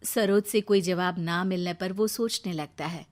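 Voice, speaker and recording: A woman narrating a moral story in a calm, plain storytelling manner. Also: fairly low pitch, normal speed.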